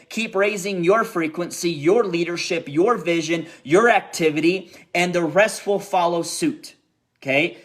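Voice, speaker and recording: A young man with a fairly high voice delivers a rousing pep talk to his sales team. He hammers his points through repetition and keeps tossing in quick check-ins with his listeners. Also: fairly bright tone. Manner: emphatic and repetitive, keeps checking in with "okay?" and "right?". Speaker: network marketing team leader.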